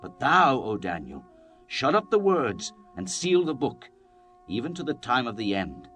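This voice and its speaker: A man's voice: medium pitch, wildly swooping pitch, unhurried, a bit bright, soft background music. A man narrating scripture in a solemn, declamatory style, as in a dramatized Bible reading.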